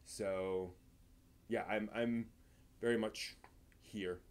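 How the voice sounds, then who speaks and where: American man chatting casually, halting and rambling. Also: lowish voice, fairly even intonation, smooth. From East Coast of the United States. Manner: halting, rambling, thinking aloud. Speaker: live stream host. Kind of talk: casual livestream chat, answering viewer questions.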